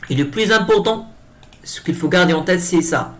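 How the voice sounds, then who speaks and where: A man giving an improvised software tutorial, speaking hesitantly and often repeating himself as he explains each step. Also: sits high, normal speed. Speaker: tutorial presenter. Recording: clear background noise.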